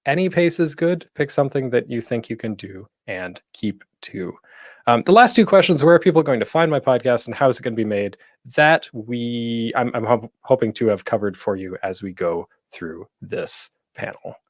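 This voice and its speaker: A man's voice: medium pitch, expressive, moderate pace, far from the mic. A man giving a casual, informal presentation as a panel host, with rhetorical questions and offhand self-deprecating asides.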